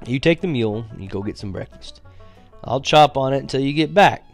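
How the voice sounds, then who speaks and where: A man reading aloud and voicing a calm, kindly father who speaks slowly and reasons gently. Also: mid-range voice, gravelly, soft background music, pitched above the performer's own voice.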